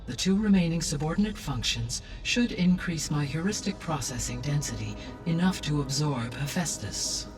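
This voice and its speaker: An actress voicing a calm, wise female AI that speaks in a measured, formal way. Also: low voice. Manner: measured, formal, precise diction. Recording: distant voice over loud music.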